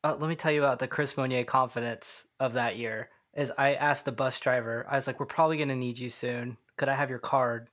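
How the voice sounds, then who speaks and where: A man's voice: mid-range voice, steady tone, brisk, hardly any pauses, a bit bright. A man co-hosting a music podcast, playfully telling anecdotes with quoted asides. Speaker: podcast co-host. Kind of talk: casual chat about music.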